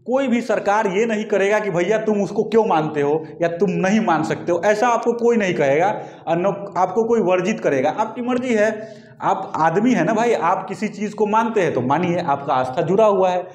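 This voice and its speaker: A man's voice: high-pitched, expressive, moderate pace, darkish tone. A man in his thirties with a Bihari accent, giving a colloquial, repetitive explanatory lecture as a teacher who addresses students directly. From Bihar, India. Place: small indoor classroom with whiteboard. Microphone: lapel mic. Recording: echoey room.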